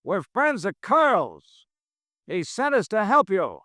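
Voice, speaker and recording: An actor playing a brisk, skeptical man in a 1950s radio drama. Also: high voice, huge pitch swings, pushed and bright, dry recording, clean studio sound, full character voice. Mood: urgent.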